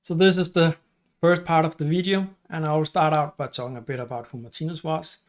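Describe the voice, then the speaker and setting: Danish-accented man explaining calmly. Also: on the high side. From Denmark. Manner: plain, unhurried, with fillers and restarts. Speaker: channel host and teacher. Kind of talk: casual explainer talk to camera.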